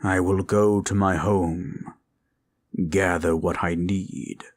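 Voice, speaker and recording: A man playing a gentle, protective dragon in a comfort roleplay, speaking formally and tenderly in a solemn, gravelly voice. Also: steady tone, slow pace. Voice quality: deep, gravelly. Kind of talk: roleplay comfort audio acting.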